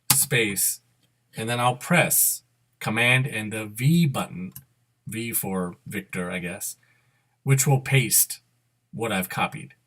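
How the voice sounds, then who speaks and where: Middle-aged male presenter for a software tutorial, unscripted step-by-step delivery with self-corrections, mid-range pitch. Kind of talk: step-by-step screen demo narration. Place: indoor room at a computer.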